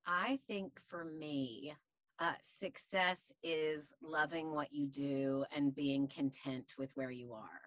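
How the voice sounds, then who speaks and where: A woman voice actor with a deep voice, talking candidly and chattily in an interview, with many fillers. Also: steady tone, slow, a bit bright, dry recording. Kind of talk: casual interview chat.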